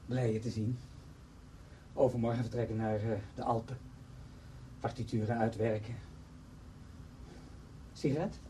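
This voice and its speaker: A man's voice: medium pitch, fairly even intonation, a little slow, pauses often, dark tone, a little hiss or hum, natural voice, rough recording. A man acting in a radio play as a cynical, self-justifying friend, glib and emphatic. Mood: breezy.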